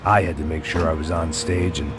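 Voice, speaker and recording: An actor playing a world-weary middle-aged American action hero, narrating a game in sardonic, deadpan noir style. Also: male voice, a bass voice, fairly quick. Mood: wry, weary. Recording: loud music behind the voice. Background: loud music.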